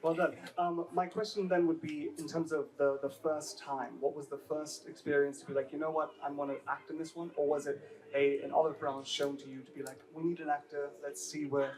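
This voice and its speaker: A man's voice: fairly high pitch, flat delivery, a little fast, darkish tone. Hesitant man moderating from afar. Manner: rambling, hesitant, many fillers. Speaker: panel moderator. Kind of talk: moderating a panel discussion. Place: panel stage at a festival. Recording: distant mic.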